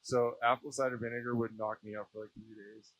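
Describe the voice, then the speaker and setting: A man's voice: medium pitch, little pitch movement, brisk, a bit bright, poor audio. A Canadian man chatting casually as an interview guest, informal and slangy. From Saskatchewan, Canada. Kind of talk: casual chat. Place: small aircraft cockpit.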